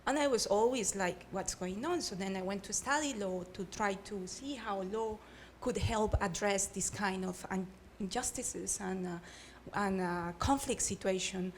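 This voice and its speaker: Middle-aged Colombian woman with an accent, measured panel talk, mid pitch, faint room hiss. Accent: Colombian Spanish. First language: Spanish. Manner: earnest, measured, frequent hesitations. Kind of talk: panel discussion. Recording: faint background noise.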